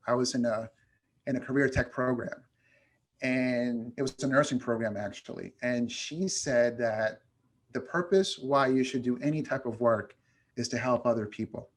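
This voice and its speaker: A man from New York whose first language is Spanish, talking casually and reflectively as an interview guest, with frequent pauses. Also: medium pitch. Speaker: guest, education consultant.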